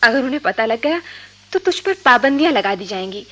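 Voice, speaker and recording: High, animated young woman confidante. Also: brisk, dull audio. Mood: warning, worried. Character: cautioning, level-headed young female confidante. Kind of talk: Hindi radio drama acting.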